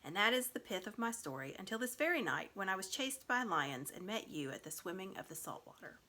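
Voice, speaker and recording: Woman reading as a proud young noble girl, lively pitch. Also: brisk. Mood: solemn, composed. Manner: formal, haughty, storytelling cadence. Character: proud, cool young noble girl telling her tale. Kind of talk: storybook read aloud.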